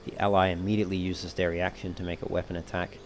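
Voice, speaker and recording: A man giving casual let's play commentary, thinking aloud in a measured, understated way and often reading game text out. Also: deep voice, level tone. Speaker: gamer narrating a playthrough.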